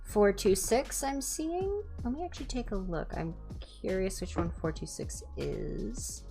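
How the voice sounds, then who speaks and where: A woman hosting a livestream, chatting casually in ASMR style with a low-key, rambling, self-deprecating manner. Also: expressive, a little slow, gravelly, mellow, faint music behind. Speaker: livestream host and reader.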